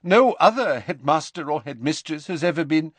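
Man doing a brisk, stern older lady professor. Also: on the high side, lively intonation, fairly bright tone, no echo, pitched above the performer's own voice. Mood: shaken, formal. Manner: brisk, formal.

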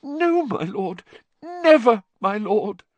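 A man's voice: sits high, big pitch swings, takes it slowly, dry recording, voice pushed up, exaggerated voice. A man voicing a cringing, sobbing male servant in an audiobook, pleading in a whimpering voice. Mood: whimpering plea.

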